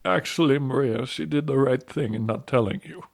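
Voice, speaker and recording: A man playing a calm priest who tells a saint's story in an audio drama, measured and explanatory. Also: mid-range voice, vocal fry, close to an everyday voice. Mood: earnest, instructive. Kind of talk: audio drama acting and narration.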